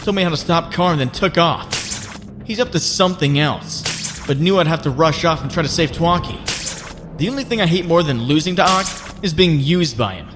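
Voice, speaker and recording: Guy playing young wisecracking superhero, kinda high voice, wry and earnest, comic dub w music behind. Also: animated, moderate pace, natural voice. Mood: determined. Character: wisecracking young adult male superhero, self-doubting, earnest. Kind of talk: dramatic comic dub acting with inner monologue.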